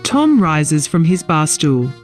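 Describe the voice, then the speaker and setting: A man's voice: on the high side, very animated, slow, faint music behind. A man narrating a fantasy audio drama, reading scripted scene descriptions as a storyteller.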